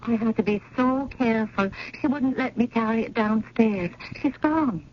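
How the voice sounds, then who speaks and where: A woman's voice: on the high side, moderate pace, dull audio, lightly acted. An actress playing a chatty, plain-spoken female building superintendent in a 1970s radio drama. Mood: chatty, obliging. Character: Mrs. Neary, talkative female building superintendent. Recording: noisy, band-limited 1970s radio broadcast.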